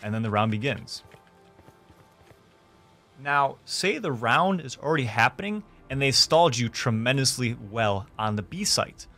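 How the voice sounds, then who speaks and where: A man's voice: mid-range voice, lively intonation, unhurried, sharp tone, faint music behind. Young man giving a step-by-step gaming tutorial. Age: young adult. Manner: clear step-by-step instructing. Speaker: gaming streamer and host. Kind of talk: tutorial narration. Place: small indoor room streaming setup.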